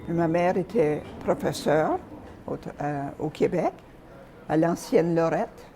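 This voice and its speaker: Soft-spoken older woman, mellow voice. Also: sits low, lively intonation, a little hiss or hum. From Calgary, Canada. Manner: soft-spoken, hesitant with frequent pauses. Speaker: interview guest. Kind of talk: reminiscing in an interview.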